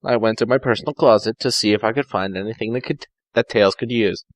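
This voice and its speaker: A man's voice: lowish voice, fast talker, dry recording. A man giving an amateur dramatic story reading, stumbling, correcting himself and dropping in asides. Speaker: fan fiction reader.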